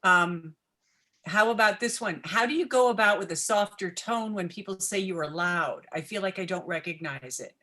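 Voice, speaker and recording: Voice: mid-range voice, moderate pace, sharp tone. A moderator reading audience questions aloud, hesitant, with many fillers before each one.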